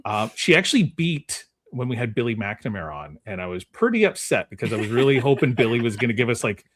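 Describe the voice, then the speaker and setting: Animated man hosting a casual podcast. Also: medium pitch, quick pace. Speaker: podcast host.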